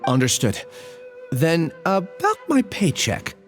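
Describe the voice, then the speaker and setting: A man's voice: a little high, wildly swooping pitch, takes it slowly, soft background music, exaggerated voice. A man playing a meek, apologetic young part-time worker in a video game, polite and earnest. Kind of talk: scripted video game dialogue.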